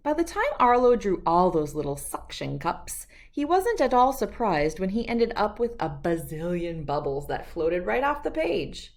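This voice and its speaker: A woman reading a children's storybook aloud with expressive, deliberate, emphatic phrasing. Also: fairly low pitch. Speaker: children's book read-aloud host.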